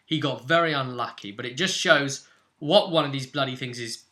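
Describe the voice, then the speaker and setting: A British man running a tabletop game talks through the session in a casual, storytelling way. His voice is bright and forceful, with an ordinary male pitch. He explains in fragments, building up the scene as he goes. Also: normal speed. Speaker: game master and host. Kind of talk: casual recap of a tabletop role-play session.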